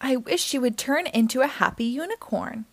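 A woman's voice: high-pitched, expressive, moderate pace, no echo. A woman voicing a sweet, wishful young giraffe boy in a children's storybook.

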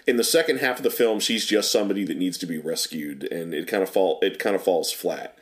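A man's voice: low voice, fairly quick. A man co-hosting a podcast, giving thoughtful, critical takes on movies in casual chat. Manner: thoughtful, critical, stammers on starts. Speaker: podcast co-host.

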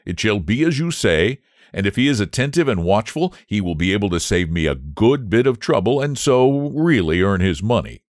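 A man's voice: lowish voice, big pitch swings, normal speed, no room sound, studio quality. A man voicing a kind, genial, wealthy country squire in a storybook reading. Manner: kindly, genial.

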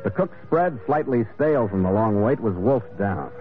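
An actor playing a hearty, wry sea skipper and reflective storyteller in an old-time radio adventure drama. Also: male voice, lowish voice, animated, normal speed, close to an everyday voice. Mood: reflective, tender. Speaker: lead actor and narrator. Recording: muffled vintage radio broadcast with loud music.

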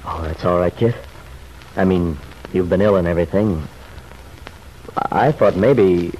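An actor playing an awkward, mild-mannered young ex-husband in an old-time radio soap opera, hesitant and plain-spoken. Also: male voice, low-pitched, measured pace, dark tone, muffled recording. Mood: awkward, polite. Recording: faintly noisy vintage radio recording.

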